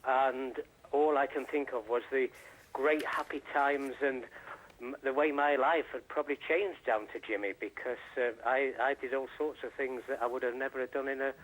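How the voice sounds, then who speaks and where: An older man reminiscing in a remote news interview, speaking in a halting, rambling way over the line. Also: mid-range voice, fairly even intonation, fairly bright tone, a little hiss or hum, rough recording. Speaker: interviewee, friend giving tribute. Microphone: phone line or remote link.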